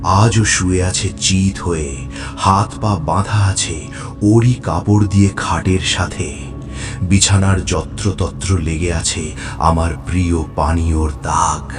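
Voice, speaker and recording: An actor playing a bloodthirsty, coldly gloating vampire in a horror audio story, speaking with slow, savouring menace. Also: male voice, sits low. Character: bloodthirsty male vampire, coldly savouring his human prey. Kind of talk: dramatic first-person horror audio story narration.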